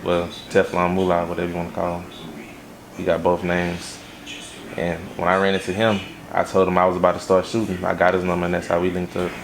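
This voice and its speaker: A man's voice: deep voice, monotone, brisk, vocal fry, slight background noise, poor audio. A young man from Los Angeles raised in Baton Rouge, a music video director answering interview questions in a laid-back way with lots of fillers. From Los Angeles, raised in Baton Rouge, Louisiana.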